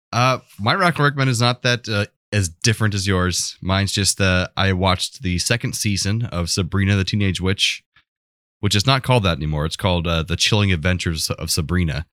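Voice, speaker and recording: A man with a very low voice, recorded close and dry. He talks as a podcast co-host in casual conversation, enthusiastic and rambling as he goes. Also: animated, normal speed, a bit bright, no room sound. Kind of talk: casual pop culture chat.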